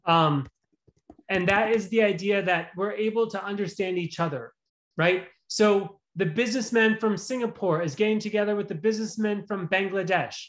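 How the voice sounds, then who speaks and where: A man's voice: high-pitched, moderate pace, fairly bright tone. Earnest guy on a video call lecturing, keeps saying "right?", restarts his sentences a lot. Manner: earnest, restarts phrases, frequent "right?" tags. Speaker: visiting professor, guest lecturer. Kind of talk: lecture and Q&A answer in an online seminar.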